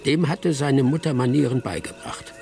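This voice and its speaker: An actor playing an old village elder who tells his story in an unhurried, wry, folksy way in a radio play. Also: mid-range voice, creaky, dark tone, faint music behind, natural voice. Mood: wry storytelling. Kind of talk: radio drama first-person narration.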